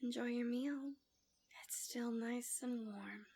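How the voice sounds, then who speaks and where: A woman voicing a gentle, coaxing, subtly manipulative fantasy villain in an intimate ASMR roleplay, soft and breathy, often near a whisper, close to the mic. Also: on the high side, fairly even intonation, slow pace. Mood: gentle, soothing. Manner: soothing, doting, teasing.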